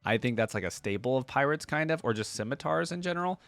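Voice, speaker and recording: A man's voice: medium pitch, expressive, fast talker, gravelly, a bit bright, no echo. A man hosting a reaction video, giving informal, enthusiastic commentary and thinking aloud as he reads and looks things over. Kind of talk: casual reaction commentary with some reading aloud.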